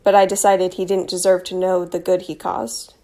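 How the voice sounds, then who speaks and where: A young woman playing a wry, tender teenage girl in a stage drama of spoken letters, with a slight creak in her voice. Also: fairly low pitch, level tone, normal speed, mellow, natural voice. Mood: candid, bitter.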